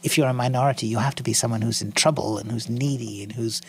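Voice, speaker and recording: A man in his sixties from India, a guest in an interview, speaking in an articulate, measured way with frequent ums and restarts. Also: medium pitch, steady tone, husky. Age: 60s. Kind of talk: interview conversation.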